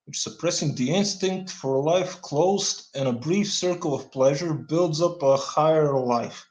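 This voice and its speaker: A Bulgarian man reading a dense political text aloud, stumbling over words and adding deadpan asides. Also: on the high side, a little slow, echoey room, rough recording. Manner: stumbling, restarts words, deadpan wry comments. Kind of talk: halting read-aloud with dry asides.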